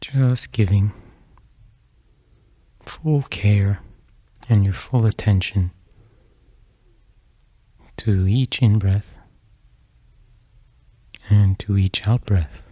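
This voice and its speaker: Very mellow, slow-paced male meditation guide. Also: on the low side, no echo. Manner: unhurried, measured phrases with long pauses.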